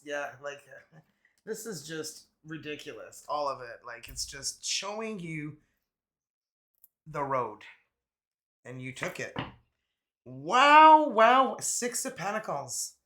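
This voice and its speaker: A man doing a casual, chatty tarot reading, laid-back and quick to laugh, his voice a little raspy from a cold. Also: fairly high pitch, pushed and bright. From Canada. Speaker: tarot reader.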